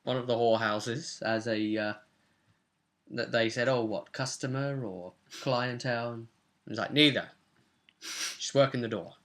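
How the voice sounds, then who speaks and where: A man's voice: medium pitch, steady tone, a little slow, pauses often, fairly bright tone. A young man, a game master, casually recapping a tabletop roleplay session at home. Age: young adult. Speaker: game master and vidcast host. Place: small room at home, indoors.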